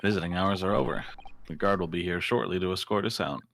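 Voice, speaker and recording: A man acting a composed, eloquent gentleman in a video game fan dub, speaking formally and with measured care. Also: low-pitched, vocal fry, a little hiss or hum. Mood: composed, courtly.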